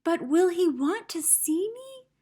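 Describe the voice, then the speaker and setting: A woman's voice: extremely high pitch, very animated, slow pace, dark tone, voice pushed up, exaggerated voice. A woman voicing a small, timid wooden puppet man who doubts himself, in a children's storybook reading. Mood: wistful, questioning.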